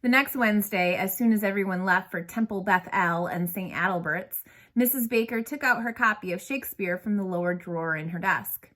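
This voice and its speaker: A woman narrating a novel aloud in an expressive storytelling manner, putting emphasis on key words. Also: medium pitch, fairly quick.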